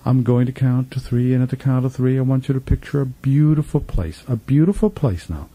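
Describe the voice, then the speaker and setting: A man leading a guided meditation as a spiritual teacher, gently instructing in a soothing, repetitive manner with trailing phrase endings, recorded in a studio. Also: medium pitch, fairly quick, breathy edge. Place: professional studio.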